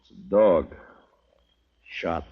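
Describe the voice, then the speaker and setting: An American actor playing a stern, world-weary frontier marshal, grim and terse, in a 1950s radio drama. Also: male voice, deep voice, lively intonation, very slow, breathy edge, heavily acted. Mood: grim, businesslike. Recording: dull, noisy 1950s radio recording.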